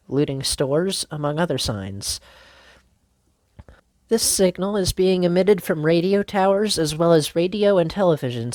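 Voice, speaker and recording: A woman playing a composed morning TV news anchor, reading scripted news in a formal, measured way. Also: deep voice, darkish tone, no room sound, close to an everyday voice.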